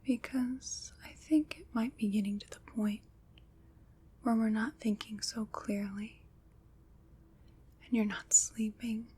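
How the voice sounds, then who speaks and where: A woman playing a gentle, caring witch in an ASMR roleplay, speaking in a breathy whisper with soothing, reassuring pauses. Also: on the high side, steady tone, full character voice. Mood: soothing, concerned. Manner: slow, soothing, reassuring, pausing between short phrases. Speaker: ASMR performer.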